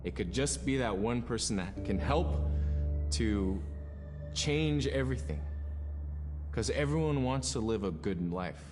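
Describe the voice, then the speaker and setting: A man telling traditional stories reflectively in a documentary interview, in halting, thoughtful phrases. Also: mid-range voice, lively intonation. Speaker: cultural storyteller, documentary interviewee. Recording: long reverb, clear music behind. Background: music.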